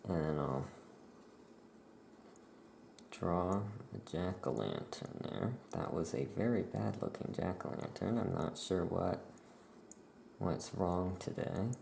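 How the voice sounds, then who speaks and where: A soft-spoken ASMR performer playing a laid-back witch shopkeeper, murmuring in an unhurried way while writing. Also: sits low, creaky. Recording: roomy echo, faint background noise.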